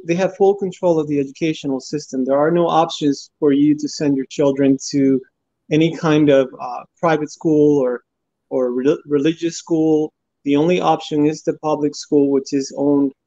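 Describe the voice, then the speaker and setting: A man in his forties from Cuba, a guest answering interview questions in an earnest, steady way over his phone. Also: a little high, dry recording. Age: 40s. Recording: dull phone audio.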